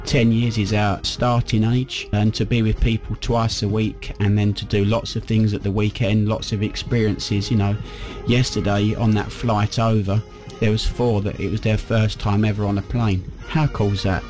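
A man who leads a youth band, answering interview questions with warm enthusiasm and a few restarts. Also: fairly low pitch, little pitch movement, normal speed, soft background music.

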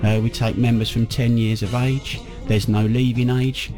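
A man's voice: mid-range voice, little pitch movement, normal speed, warm tone. A man giving conversational interview answers as the leader of a band. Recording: music playing under the voice.

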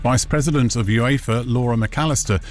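A man's voice: medium pitch, moderate pace. A man presenting a scripted news bulletin. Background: music bed.

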